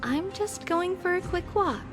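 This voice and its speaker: High, gentle young maiden. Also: female voice, expressive, measured pace. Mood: gentle, amused. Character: gentle, kindly young maiden, tender and reserved. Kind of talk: game voice acting. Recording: music behind the voice.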